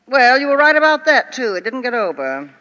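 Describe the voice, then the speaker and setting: A woman's voice: sits high, very animated, a little fast, sharp tone, heavily acted. A woman playing a frank, patient friend who gives matter-of-fact criticism in a 1950s radio comedy. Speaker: stage actress playing a part. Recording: dull old radio recording, hall reverb.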